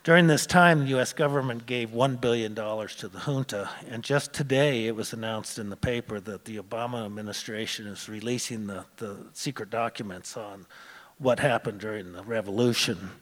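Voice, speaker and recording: Guy telling a true story on stage, lots of uhs, echoey hall. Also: on the low side. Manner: halting, with many "uh" pauses. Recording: long hall reverb.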